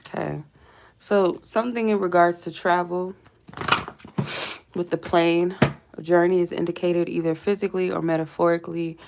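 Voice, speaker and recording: A woman hosting a live tarot reading with a stuffy cold, rambling with many fillers and dropping to quiet murmured prayers between cards. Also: fairly low pitch, fairly even intonation, moderate pace, vocal fry, pauses often, a little hiss or hum.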